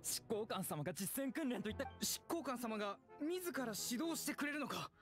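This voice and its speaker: A man's voice: very high voice, very animated, normal speed, soft background music, heavily acted. A man voicing a nervous, eager young military recruit in an anime game. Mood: startled, nervous.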